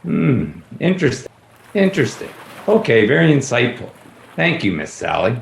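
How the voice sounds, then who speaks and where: A courteous detective played by a man in a radio drama, always probing with theatrical care. His pitch swings widely, in an echoey room with faint noise. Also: big pitch swings, normal speed, vocal fry. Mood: inquisitive. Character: probing, courteous male detective aboard a boat. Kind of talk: radio play acting.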